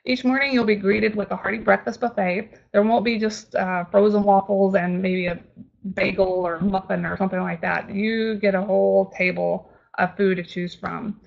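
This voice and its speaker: A woman's voice: mid-range voice, steady tone, moderate pace, gravelly, muffled recording. A woman with a Texas accent, a travel agent giving a friendly, chatty webinar presentation with frequent ums and restarts.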